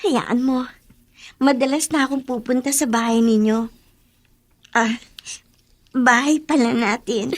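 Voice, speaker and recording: An actress as an affectionate young schoolgirl in a radio drama. Her voice is high and recorded close and dry. She talks earnestly, like a reformed snob trying to be sincere. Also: steady tone, unhurried, long pauses, no echo, natural voice. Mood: earnest, confiding.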